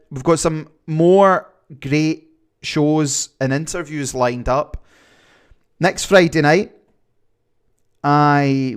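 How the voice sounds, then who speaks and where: A man's voice: medium pitch, clear, resonant tone. A man with a Glaswegian accent hosting a livestream, chatting casually and reading viewer comments, rambling with many fillers. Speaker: livestream host.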